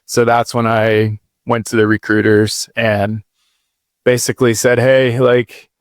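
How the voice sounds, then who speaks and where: A man's voice: medium pitch, little pitch movement, a little slow, no echo, studio quality. A man in his thirties, a podcast guest, telling his life story in a loose, rambling way with lots of "like" and "dude". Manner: laid-back, rambling, says dude and like a lot. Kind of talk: casual storytelling interview.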